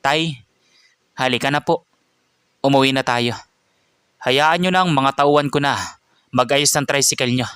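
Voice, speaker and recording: A man voicing a stern, calm male police chief who speaks firmly and seriously. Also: mid-range voice, clear, resonant tone, dry recording, clean studio sound, close to an everyday voice. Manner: firm, measured, serious.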